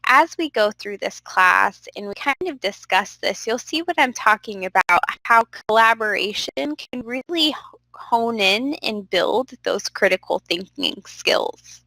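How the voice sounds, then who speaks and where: A young woman leads an online class in a bright, clear voice, encouraging and direct with her students. She sounds a bit stuffy from a cold. The recording is close and dry, with no room echo. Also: mid-range voice, expressive, measured pace. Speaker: instructor. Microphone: computer microphone.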